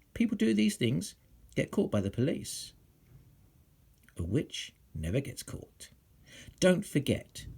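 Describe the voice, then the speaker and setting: A man reading a children's book aloud like a teacher, theatrical and suspenseful, dropping into hushed whispers and swelling for emphasis. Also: fairly high pitch, husky. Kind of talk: dramatic storytelling, scripted narration.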